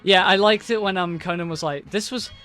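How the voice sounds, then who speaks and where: An eager male co-host who tumbles over his own words while gushing. His voice sits high and bright, with faint music running underneath. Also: fairly quick. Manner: enthusiastic, stumbling restarts. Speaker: podcast co-host. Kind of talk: casual chat.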